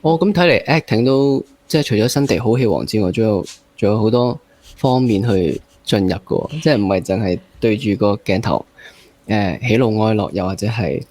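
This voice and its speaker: A man's voice: fairly low pitch, moderate pace, a little mellow, no room sound. Conversational Hong Kong man interviewing. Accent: Hong Kong Cantonese. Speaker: interview host.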